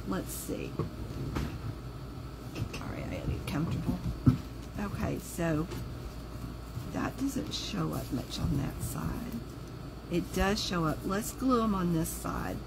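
A woman's voice: lowish voice, animated, takes it slowly, gravelly, long pauses, noisy background. A woman with a sore throat, chatting casually while she works on a craft project at home and thinking aloud as she goes. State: sore throat, voice lower than usual. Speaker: craft video host. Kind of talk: casual crafting livestream chat. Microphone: overhead camera on the table, hands only in frame.

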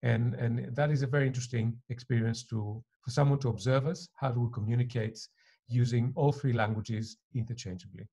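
A middle-aged man from Greece, a native Greek speaker, giving reflective interview answers as a professor with a slightly husky voice. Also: mid-range voice, fairly even intonation, fairly quick, dark tone. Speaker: university professor being interviewed. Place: attic living room at home.

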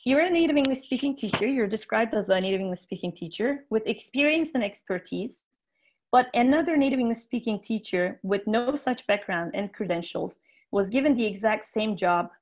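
A woman's voice: on the high side, animated, fairly quick, low-quality recording. Turkish-native woman presenting on a video call. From Turkey. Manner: earnest, explanatory, with frequent um fillers. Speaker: teacher trainer giving a conference plenary. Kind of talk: online conference presentation. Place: indoor room, webcam video call.

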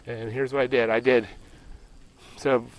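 A man's voice: mid-range voice, flat delivery, measured pace. Middle-aged guy filming his solar panels outside on his phone, rambly, keeps correcting himself, plain mid voice. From Pennsylvania. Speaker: homestead solar vlogger. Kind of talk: casual walkthrough vlog. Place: outdoors by a ground-mounted solar array in a rural field.

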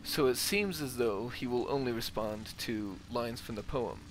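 A man's voice: medium pitch, normal speed, noisy background. A man hosting a gaming video, giving playthrough commentary and reading in-game text aloud. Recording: faint game ambience behind.

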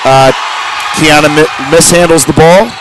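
A man with a loud voice giving halting, amateur live play-by-play of a basketball game. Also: fairly high pitch, fairly even intonation. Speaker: play-by-play sports commentator. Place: large indoor school gymnasium during a game. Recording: noisy gym crowd and bouncing ball. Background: crowd noise, basketball bounces.

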